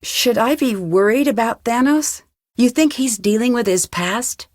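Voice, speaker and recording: A woman's voice: fairly high pitch, expressive, a little slow, a little mellow, dry recording, clean studio sound. An actress playing an inquisitive, polite young woman detective in a video game, speaking in an earnest, questioning way. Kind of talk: video game dialogue acting.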